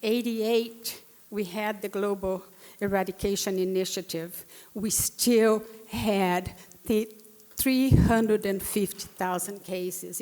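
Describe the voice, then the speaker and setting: Brazilian lady in her 60s, talks slow and earnest, lots of uh's, echoey big hall. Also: mid-range voice, darkish tone. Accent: Brazilian Portuguese. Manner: earnest, with frequent fillers and restarts. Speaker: panelist speaker. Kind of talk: personal story in a talk. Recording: long hall reverb.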